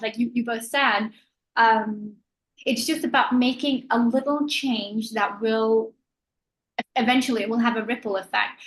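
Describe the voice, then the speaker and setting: A woman with a Danish accent, speaking as a panelist in a webinar on a video call, thoughtful and pausing often with fillers. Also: a little high, fairly bright tone, rough recording. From Denmark. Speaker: panelist, pre-loved clothing store owner.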